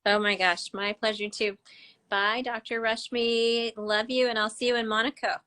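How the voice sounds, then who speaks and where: A woman's voice: a little high, little pitch movement, normal speed, pushed and bright. A woman, a doctor hosting a live online interview over a video call, bubbly and enthusiastic. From United States. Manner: bubbly, enthusiastic, laughs often. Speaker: host doctor.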